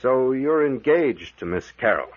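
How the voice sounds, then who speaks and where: A man's voice: mid-range voice, sing-song, unhurried. A man playing a shrewd, firm captain questioning a suspect in an old radio mystery, terse and authoritative. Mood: stern, skeptical. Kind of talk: 1940s radio mystery acting. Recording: muffled vintage radio drama recording.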